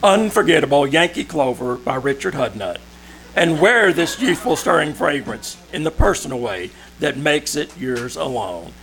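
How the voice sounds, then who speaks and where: A man reading a 1940s-style radio commercial as announcer, with deliberate, emphatic salesmanship over faint hum. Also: fairly high pitch, sing-song, creaky, a crowd in the background, rough recording.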